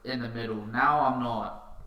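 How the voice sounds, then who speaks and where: A man's voice: medium pitch, unhurried, lo-fi sound. A young man telling stories in casual, matey podcast chat as a guest. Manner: informal, matey storytelling. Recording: roomy sound with clear noise.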